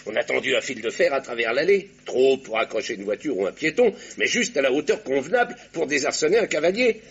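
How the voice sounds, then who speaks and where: An actor in an old radio drama playing a shrewd doctor who investigates crimes, calm and ironic as he explains his deductions. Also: medium pitch, fast talker, gravelly, breathy edge. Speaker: amateur detective doctor. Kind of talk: 1960s French radio drama acting. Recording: dull old radio broadcast recording.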